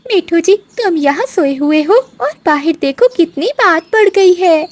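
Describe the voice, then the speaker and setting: Woman voicing a high, scolding cartoon bird mother. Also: expressive, measured pace, darkish tone. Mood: angry, urgent. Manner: scolding, indignant. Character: angry quarrelsome female crow and parrot mothers. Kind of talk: Hindi animated storybook acting.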